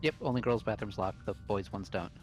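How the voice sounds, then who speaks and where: A man's voice: fairly low pitch, animated, fairly quick, faint music behind. A man in a casual group discussion, arguing his reasoning as a game player.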